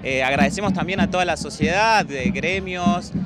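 Earnest young Argentine man, loud music. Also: sits high, moderate pace. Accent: Argentine Spanish. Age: young adult. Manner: earnest, moved. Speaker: student union leader. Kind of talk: street interview. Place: outdoor street protest. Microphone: handheld reporter mic.

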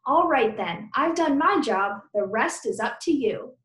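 A woman voicing a cheerful, playful female teacher in an animated, encouraging storybook read-aloud. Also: high voice, measured pace, roomy sound, pitched above the performer's own voice. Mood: brisk, upbeat.

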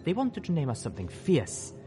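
Male reader as young female zebra, proud, chatty, higher pitch, animated, story character. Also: brisk, music in the background.

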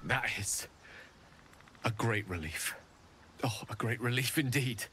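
An actor playing an eloquent, self-deprecating wizard in a video game, speaking in a theatrical, articulate way. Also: male voice, medium pitch, slow pace, slight background noise. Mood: grateful. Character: wizard man, eloquent, wry, melancholy. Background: soft game music.

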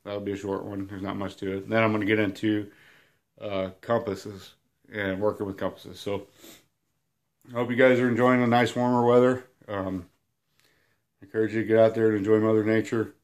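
A man in his thirties, hoarse and sniffling from a cold, giving a rambling, self-deprecating whiteboard lesson at home. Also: on the low side, level tone, normal speed. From Illinois. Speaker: outdoors channel host. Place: small room at home, indoors.

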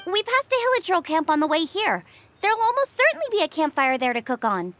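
A woman's voice: very high voice, very animated, fast, fairly bright tone, heavily acted. A woman voicing an eager, cheerful young girl chef in a video game, with an enthusiastic, confident manner. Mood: brisk, concerned. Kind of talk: animated video game dialogue.

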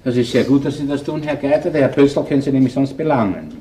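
An actor with a Viennese accent playing a seasoned, dry and persistent police chief inspector in a conversational radio crime play. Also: male voice, mid-range voice, quick pace, dark tone, natural voice. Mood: matter-of-fact. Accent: Austrian, Viennese. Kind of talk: conversational radio drama acting.

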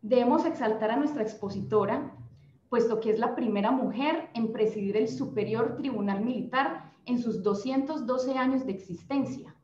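A woman's voice: a little high, normal speed, a little mellow, a little hiss or hum. A young adult woman with a Colombian accent, moderating a panel and introducing a guest over a video call. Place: office room, indoors. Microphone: laptop webcam on video call. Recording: echoey room, dull lo-fi sound.

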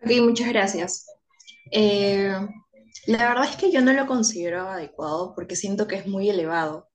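A woman's voice: medium pitch, normal speed, crowd noise. A young woman giving her opinion in an online group discussion. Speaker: focus group participant. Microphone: video call.

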